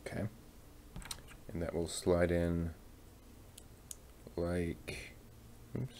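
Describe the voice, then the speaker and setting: Very low, slow-talking man. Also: flat delivery, mellow, a little hiss or hum. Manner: muttering to himself, halting and absorbed. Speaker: hobby channel host building a model kit on stream. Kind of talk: casual hands-on build-along chat.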